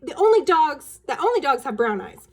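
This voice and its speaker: A woman's voice: high voice, sing-song, moderate pace, voice pushed up. A woman voicing a smug, whiny nine-year-old tattletale girl in a children's storybook. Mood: indignant. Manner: whiny, self-righteous.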